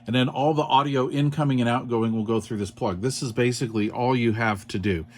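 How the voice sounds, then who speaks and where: A man's voice: medium pitch, fairly even intonation, brisk, a little mellow. A man explaining things in an informal, step-by-step way, as in a hands-on tutorial, often checking in with the listener. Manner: informal, explanatory, checks in with "all right" and "okay". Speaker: radio product demonstrator.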